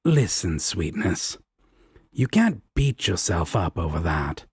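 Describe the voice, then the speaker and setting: A man playing a sardonic, protective and affectionate devil roommate in a romantic audio roleplay, with a deep husky voice and blunt, coaxing talk. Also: very animated, slow pace, dry recording, clean studio sound, heavily acted. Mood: gentle, firm.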